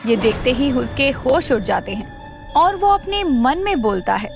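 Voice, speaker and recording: A woman reads a scripted, dramatic story as narrator. Her voice is high and very animated in pitch, set over background music. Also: a little slow, creaky.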